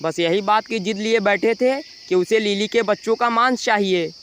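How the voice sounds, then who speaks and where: A man narrating a scripted animated children's moral story. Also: high-pitched, normal speed, a little hiss or hum.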